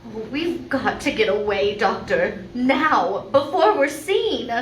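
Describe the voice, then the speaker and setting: Woman acting a high-voiced, frightened radio-play heroine. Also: animated. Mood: anxious. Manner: theatrical, deliberate delivery. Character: frightened, distrustful woman in danger, melodramatic heroine. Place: live performance venue, indoors. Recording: roomy live-stage recording, faint noise.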